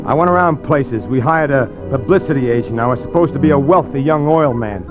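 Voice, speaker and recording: An actor playing a rough, uneducated young male hustler who narrates his crime story in slangy tough-guy talk, in 1940s radio style. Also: medium pitch, fairly quick, lightly acted. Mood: brooding. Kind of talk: 1940s radio acting and first-person narration. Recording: dull old radio recording with music.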